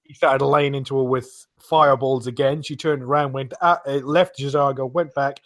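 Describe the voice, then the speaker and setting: A man's voice: medium pitch, steady tone, moderate pace, no echo, studio quality. A man on a podcast panel casually retelling stories in a rambling way, often searching for names. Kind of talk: casual chat, retelling gameplay anecdotes.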